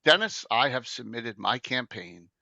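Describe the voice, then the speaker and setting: Lively excitable man in his fifties. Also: medium pitch, unhurried, creaky, no echo, highs cut off. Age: 50s. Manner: excitable, joking, rambling. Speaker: podcast host. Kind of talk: casual chat.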